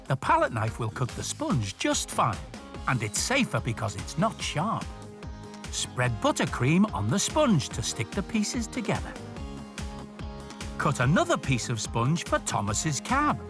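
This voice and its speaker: A man presenting for young children, reading and explaining step by step in an expressive way and speaking straight to the viewer. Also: high-pitched. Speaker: storyteller and presenter. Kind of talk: children's story reading and step-by-step craft narration. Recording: music behind the voice. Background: music.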